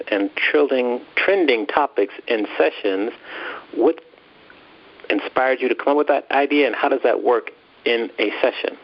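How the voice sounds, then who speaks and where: A man with a mid-pitched voice hosts a casual interview. He puts his questions conversationally. The sound is a bit dull and lo-fi, a little like radio. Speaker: podcast host, interviewer.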